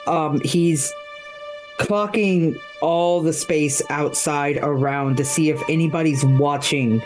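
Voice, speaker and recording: A man with a slightly high voice takes his turn in a tabletop game, casually laying out his character's actions. Soft music sits behind him. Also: steady tone, slow pace.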